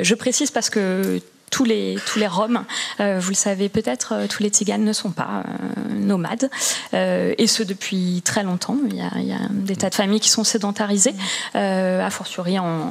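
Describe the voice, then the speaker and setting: Woman, gravelly mid-pitched voice, halting lecture delivery with many fillers. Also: fairly even intonation. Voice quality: hesitant, many fillers and restarts. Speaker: art historian. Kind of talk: public talk panel.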